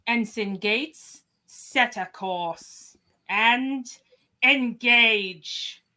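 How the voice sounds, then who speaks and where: A woman's voice: on the high side, sharp tone, full character voice. A woman playing a dignified, commanding starship captain in a radio play. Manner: deliberate, commanding. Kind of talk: radio play live read.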